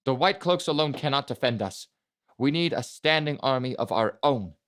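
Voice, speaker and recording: A man voicing a bold, passionate young prince in an audiobook, making deliberate, commanding speeches. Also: mid-range voice, animated, fairly bright tone, voice pushed up, natural voice. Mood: fiery. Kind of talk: dramatic audiobook character reading.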